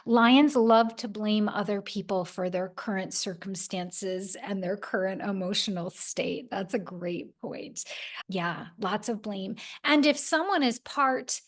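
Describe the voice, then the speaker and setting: A woman hosting a podcast, explaining ideas in a casual, animated way. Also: mid-range voice, slow. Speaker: podcast host.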